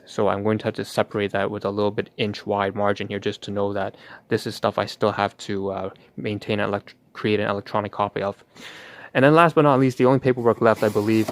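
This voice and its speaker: A man hosting a livestream, rambling through a narration of what he is doing in hesitant, wordy and slightly formal phrasing. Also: fairly low pitch. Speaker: livestream host. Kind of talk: rambling vlog narration while sorting paperwork.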